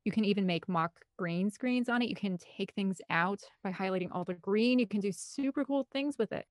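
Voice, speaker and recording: A woman's voice: medium pitch, a little fast, no room sound, studio quality. A woman speaking as an interview guest in a casual, rambling and emphatic conversational way. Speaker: interview guest, film composer and director.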